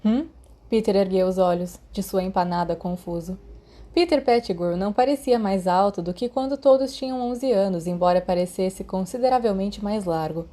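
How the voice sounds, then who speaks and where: A woman's voice: medium pitch, dark tone. A woman with a Brazilian Portuguese accent reading scripted fiction as an audiobook narrator.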